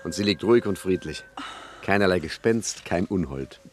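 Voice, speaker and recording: Deep-voiced cocky gangster with swooping pitch. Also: male voice, expressive, moderate pace, slight background noise. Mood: mocking reassurance. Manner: mocking, blustering, theatrical. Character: cocky, scoffing male gangster in 1920s Chicago. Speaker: actor in a radio crime satire. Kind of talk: comedic German radio play acting.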